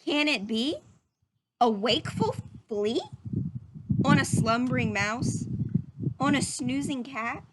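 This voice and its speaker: A woman's voice: high-pitched, slow pace, long pauses, heavy background noise. A woman reading a picture book aloud to young children like a teacher, drawing out phrases and putting questions to her listeners.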